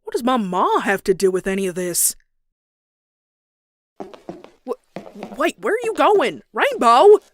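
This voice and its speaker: A woman's voice: on the high side, very animated, normal speed, a little hiss or hum. A woman voicing a feisty, folksy cartoon farm pony with a Southern US accent, blunt and fretful. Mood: worried. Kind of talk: cartoon comic dub with scripted narration. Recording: music behind the voice.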